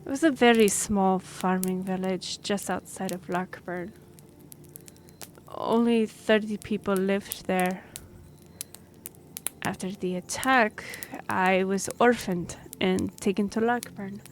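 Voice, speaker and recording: A woman's voice: mid-range voice, a little hiss or hum, close to an everyday voice. A woman playing an earnest young half-elf noblewoman and royal envoy in a fantasy roleplay audio drama, speaking formally with some awkward hesitation. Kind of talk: fantasy roleplay audio acting, addressing the listener.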